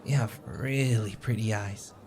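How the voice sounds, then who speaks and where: A man's voice: fairly low pitch, a little slow, a little mellow, a little hiss or hum. A man acting a shy, anxious, sweet young stranger in an audio roleplay, rambling with hesitant stammers and self-deprecating apologies. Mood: sweet, excited. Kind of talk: audio roleplay, intimate one-sided conversation. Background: coffee shop ambience.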